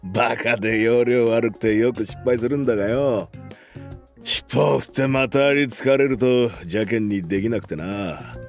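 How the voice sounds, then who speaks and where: An actor playing a gruff, fond older gang boss in a Japanese visual novel. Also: male voice, medium pitch, fast, close to an everyday voice. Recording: game music behind.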